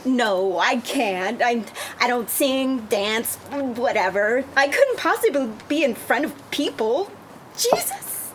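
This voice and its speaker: A woman acts a flustered, bashful woman with a high, swooping voice, giggly and tipsy. Her words come very slowly and haltingly in a noisy script-read recording. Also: big pitch swings. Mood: flustered panic. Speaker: actor at a script table read. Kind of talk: amateur script read-through.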